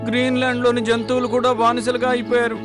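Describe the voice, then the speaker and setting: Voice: mid-range voice, completely flat delivery, fast, music in the background, lightly acted. An actor voicing a mischievous young talking parrot in a children's cartoon, with exaggerated delivery. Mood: remorseful.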